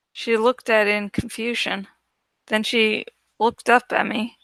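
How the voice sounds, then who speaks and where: High, bright-voiced woman narrating a story. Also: vocal fry, no echo. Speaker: book narrator. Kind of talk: dramatic audiobook narration, first person.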